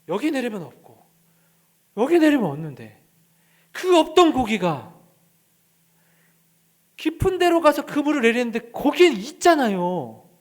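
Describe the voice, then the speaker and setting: A male pastor preaching a sermon, telling the story with animation, acting out scenes and putting questions to the congregation. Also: very high-pitched. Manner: acts out scripture scenes with voices.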